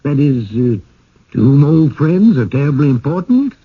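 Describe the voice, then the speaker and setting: Fussy, husky genial village gent. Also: male voice, a little high, big pitch swings, normal speed. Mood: hesitant, flustered. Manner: hesitant, apologetic, courteous, stammering. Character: fussy, genial widowed village man screening newcomers. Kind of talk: 1980s radio drama acting. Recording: muffled old radio broadcast.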